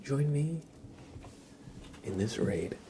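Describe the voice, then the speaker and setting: A man streaming ASMR, chatting softly and gently in a breathy, often whispered voice, unhurried and informal, close to the microphone. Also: medium pitch, animated, noisy background. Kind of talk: soft-spoken ASMR livestream chat.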